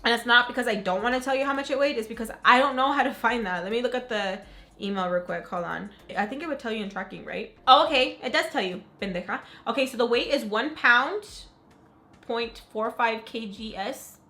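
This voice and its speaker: A young woman who is sick and raspy, chatting excitedly in a casual beauty unboxing. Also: a little high, brisk. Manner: gushing, upbeat, says "freaking" a lot. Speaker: beauty vlogger. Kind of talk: casual unboxing chat to camera.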